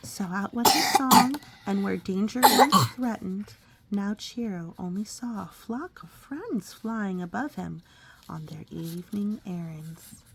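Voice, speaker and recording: Woman reading a storybook, slow and creaky. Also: mid-range voice, background noise. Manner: expressive, coaxing, child-directed, often dropping into breathy whispers. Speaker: parent reading a picture book aloud to a young child. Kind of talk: storybook read-aloud with conversational asides to a child.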